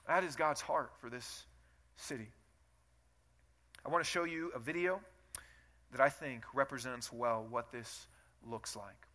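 Emphatic bright-voiced male pastor. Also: a little high. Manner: earnest, emphatic, rising on key phrases. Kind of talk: sermon.

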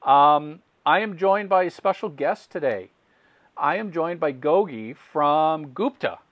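A man's voice: on the high side, animated, unhurried, no room sound, muffled recording. A man hosting a music business podcast, talking in a friendly, conversational way with ums and repeated words. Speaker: podcast host. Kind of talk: casual interview talk.

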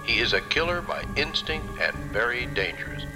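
An actor playing a stern newscaster reading a police alert with grave urgency in an old radio drama. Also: male voice, mid-range voice, big pitch swings, moderate pace, music in the background, natural voice, poor audio. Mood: grave warning. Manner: grave, urgent, clipped warning. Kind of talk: old-time radio bulletin.

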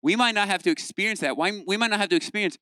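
A young man gives a lively, joking sermon to a youth group in a fairly high, crisp voice. The sound is close and dry, with no room around him. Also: rapid-fire, no echo. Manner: lively, playful, acts out quoted voices. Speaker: youth pastor.

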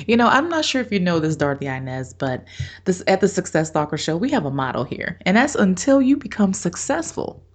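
A woman's voice: fairly low pitch, very animated, brisk. A woman hosting an interview show, bubbly and effusive, laughing often and talking over a remote call. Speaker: podcast host. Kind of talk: interview chat.

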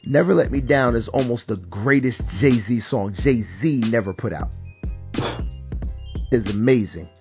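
A man's voice: medium pitch, lively intonation, unhurried, lo-fi sound, over music. A man hosting a casual podcast chat about rap songs, laid-back and swearing now and then. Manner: laid-back, swears, says "my bad" and "word up" a lot. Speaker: podcast host.

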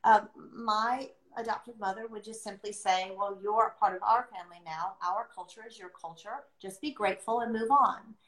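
A middle-aged woman speaking reflectively and conversationally on a livestream, earnest and deliberate, pausing as she chooses her phrases. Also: mid-range voice, fairly bright tone. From born outside the US, adopted into America. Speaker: podcast host sharing her experience as an adoptive mother. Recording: roomy, echoing sound.

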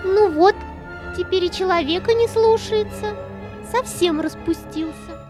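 A woman's voice: very high-pitched, a little slow, music in the background. A woman playing a sly, scheming cat with an insinuating, theatrical manner in a children's audio play. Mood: indignant. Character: sly, scheming female cat, spiteful and coaxing.

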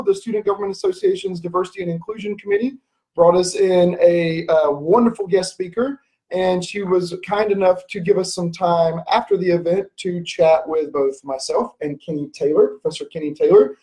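A man hosts a casual podcast interview with a mellow, slightly high voice. He is chatty and laughs easily, often pausing on ums. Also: poor audio. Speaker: podcast host.

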